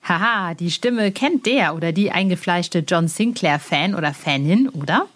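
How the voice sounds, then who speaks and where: A woman's voice: fairly low pitch, animated, moderate pace. Enthusiastic woman hosting a podcast. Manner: enthusiastic, informal. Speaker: podcast host. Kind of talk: chatty podcast presenting and interviewing.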